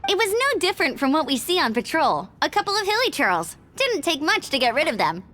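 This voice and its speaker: A friendly, energetic young female scout from a video game. Her high voice is very lively, with faint noise behind it. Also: a little fast, heavily acted. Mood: perky, friendly.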